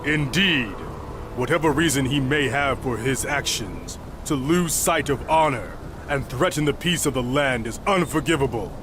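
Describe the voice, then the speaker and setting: A man's voice: medium pitch, moderate pace, noisy background, lightly acted. Man playing a resolute, honorable warrior general. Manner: formal, resolute. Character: honorable, stern warrior general of ancient China. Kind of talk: video game dramatic acting. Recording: voice under loud music.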